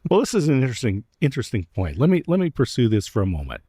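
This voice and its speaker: A man hosting an interview, asking thoughtful, steady questions. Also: medium pitch, racing, breathy edge, dry recording, clean studio sound. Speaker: host. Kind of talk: interview host. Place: stadium club room.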